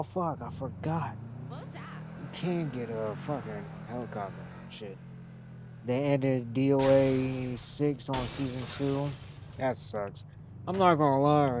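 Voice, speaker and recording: A man's voice: medium pitch, background noise. A young man streaming a game, chatting casually, rambling, talking to himself, and swearing often. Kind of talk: casual gaming stream chat.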